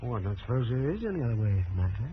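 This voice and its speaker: An actor playing a wry, crusty older American frontier doctor in an old-time radio Western, chatty and teasing. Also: male voice, mid-range voice, sing-song, racing, natural voice. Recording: dull old radio recording with hiss.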